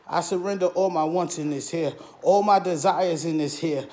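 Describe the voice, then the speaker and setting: A man's voice: fairly high pitch, normal speed, dark tone. A man preaching and praying in a rhythmic, chant-like flow of short repeated phrases. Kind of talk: rhythmic prayer and exhortation.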